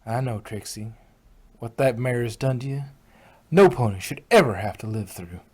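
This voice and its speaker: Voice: medium pitch, expressive, moderate pace, darkish tone, slightly rough, voice pushed down. A performer voicing a friendly, plainspoken female country farm pony with a folksy, reassuring way of talking. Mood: comforting.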